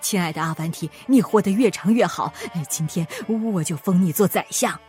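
A woman's voice: normal speed, a little mellow, soft background music, lightly acted. A woman voicing a jittery, frightened old king who shrieks in panic. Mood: flustered. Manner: shrill, panicky outbursts.

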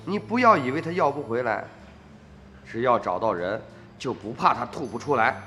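A man's voice: medium pitch, expressive, normal speed, bright, forceful tone. An actor playing a pushy middle-aged village man in a radio drama, wheedling and insistent, repeating himself as he presses. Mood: coaxing, scheming. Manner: insistent, repetitive. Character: wheedling, pushy village father feigning greed. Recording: reverberant studio drama with music.

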